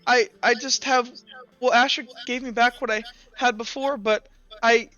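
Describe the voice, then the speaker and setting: Game master as distraught, stammering robbed youngster, bright voice. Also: medium pitch, steady tone, moderate pace, highs cut off, natural voice. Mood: upset, disbelieving. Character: Kilroy, a robbed, tearful, naive youngster.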